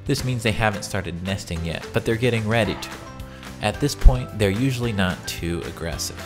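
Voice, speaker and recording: A man's voice: fairly low pitch, lively intonation, moderate pace. Male narrator over prominent music. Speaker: narrator of a nature education video. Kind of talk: educational video narration.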